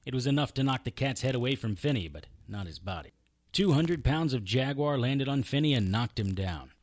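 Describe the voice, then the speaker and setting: A tough, sardonic male private eye, played by an actor in a hard-boiled audio drama. His delivery is deadpan and unflappable yet moves widely in pitch, recorded close and dry. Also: a little fast, no echo, natural voice. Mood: grim narration. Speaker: narrator and lead actor. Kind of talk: hard-boiled detective audio drama acting with first-person narration.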